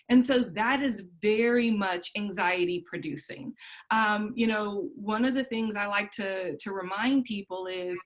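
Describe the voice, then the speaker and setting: Woman on a mental health video panel, somewhat high voice, steady and encouraging. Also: low-quality recording. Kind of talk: online panel discussion.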